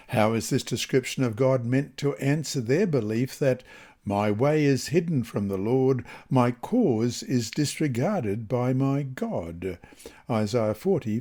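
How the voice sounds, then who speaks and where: A man with a soft-edged, mellow voice reads a devotional study lesson aloud. His delivery is measured and deliberate, with lively rises and falls in pitch that give the scripted text and scripture an expressive shape. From Australia. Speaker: reader of Bible study lessons.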